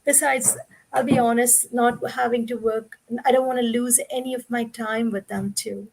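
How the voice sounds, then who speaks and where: A woman in her forties, originally from India, talks through her own story as an interview guest. Her voice sits a little high, and she explains things at length in an earnest, conversational way over a video call. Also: fairly even intonation, normal speed, vocal fry, darkish tone, poor audio.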